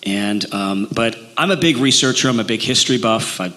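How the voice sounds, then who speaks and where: A man's voice: mid-range voice, brisk, pushed and bright. A man from Illinois with a Midwestern accent, an actor chatting in an interview, rambling with many fillers and restarts. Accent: Midwestern American. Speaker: actor playing Davy Crockett, interview guest. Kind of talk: casual interview talk.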